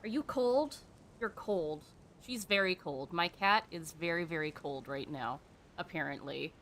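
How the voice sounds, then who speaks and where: Bright-voiced woman streaming, unhurried pace. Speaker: streamer playing a video game. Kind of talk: casual reactive game-stream chat. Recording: background noise with low rumble.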